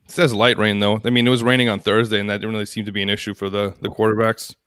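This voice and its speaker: A young man co-hosting a live fantasy football show, running the segments in a brisk, teasing way. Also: on the low side, flat delivery, fairly bright tone, dry recording. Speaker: co-host. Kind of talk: casual fantasy football chat.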